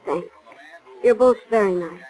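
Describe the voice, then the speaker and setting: A woman's voice: mid-range voice, measured pace, a little mellow, close to an everyday voice, rough recording. An actress playing a reserved, subdued working woman who answers tersely, in 1940s radio drama style. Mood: polite, reserved. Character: guarded, subdued working woman, switchboard operator. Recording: remastered 1940s radio recording.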